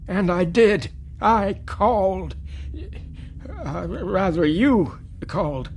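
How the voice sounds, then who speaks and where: A man playing an elderly, lonely man in a radio drama, brooding and rueful, with halting, gravelly speech. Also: high voice, expressive, slight background noise, full character voice. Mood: reflective, rueful. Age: older. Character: elderly lonely man, rueful, haunted by his own past.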